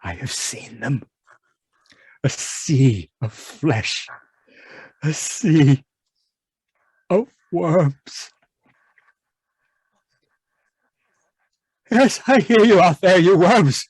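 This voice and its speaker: Man playing a haunted, slow-speaking horror radio narrator. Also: a little high, animated, a little mellow, husky, no room sound, full character voice. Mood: dread, despair. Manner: halting, repetitive, melodramatic delivery. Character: anguished, haunted man raving about monstrous giant worms. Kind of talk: old-time radio horror acting, dramatic monologue.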